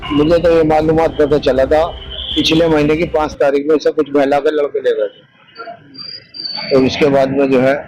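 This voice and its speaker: A man telling a reporter what he heard, in rambling, halting speech. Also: on the high side, flat delivery, a little mellow, low-quality recording. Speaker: local witness. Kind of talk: informal interview bite.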